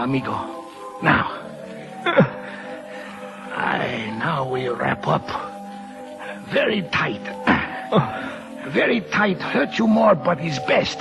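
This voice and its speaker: A man's voice: medium pitch, creaky. A man playing a kindly, humble Mexican carousel operator in broken, Spanish-sprinkled English, in 1940s radio drama style. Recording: muffled vintage radio recording with music.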